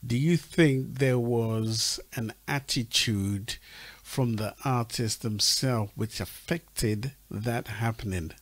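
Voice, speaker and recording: A man hosting a talk show, asking measured, probing questions with some restarts. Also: medium pitch, no echo. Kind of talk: interviewing a guest.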